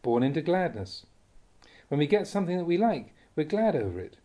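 A man reading Buddhist teachings aloud like an audiobook, in a measured, deliberate, instructive way. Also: fairly high pitch, very animated, warm tone. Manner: measured, deliberate, didactic phrasing. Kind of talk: audiobook reading of a dharma talk.

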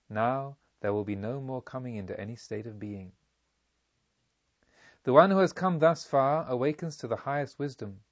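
A man reading as a serene, revered spiritual master, solemnly and deliberately teaching a disciple in an audiobook. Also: medium pitch, dry recording, muffled recording. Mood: serene, assured. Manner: solemn, emphatic, rhetorical, deliberate.

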